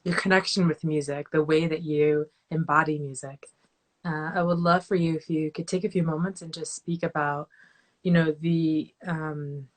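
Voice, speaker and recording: A woman hosting a podcast with a slightly low voice. Her talk is effusive and heartfelt, often dropping into soft asides, over a video call. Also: steady tone, normal speed. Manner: warm, effusive, trailing into softer asides. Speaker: podcast host. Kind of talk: casual interview.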